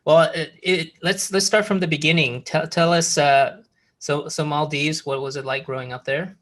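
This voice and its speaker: Bright-voiced enthusiastic male host. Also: on the high side, fairly even intonation, normal speed. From Malaysia. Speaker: podcast host. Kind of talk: founder interview.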